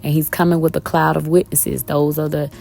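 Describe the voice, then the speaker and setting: A woman with a raspy, slightly hoarse voice, teaching scripture to her viewers from home in a casual way, calling them y'all with many ums. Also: low-pitched, flat delivery, brisk, a little mellow, faint music behind. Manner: talks directly to viewers as y'all, with many um fillers. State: a little hoarse. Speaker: online Bible teacher. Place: at home with her children around.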